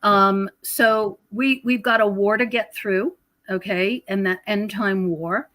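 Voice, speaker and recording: A woman's voice: mid-range voice, fairly even intonation, slow, fairly bright tone. A woman guest on a podcast, talking in an emphatic, preachy way about her beliefs, often repeating words and checking in with the listener. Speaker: podcast guest, author and researcher of prophecy. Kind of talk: conversational interview, spiritual explanation.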